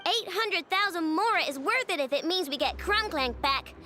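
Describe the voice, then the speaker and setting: A woman's voice: very high-pitched, lively intonation, normal speed, a little hiss or hum, heavily acted. A woman voicing an earnest, determined young girl inventor in a video game, with a girlish voice. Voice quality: bright, girlish.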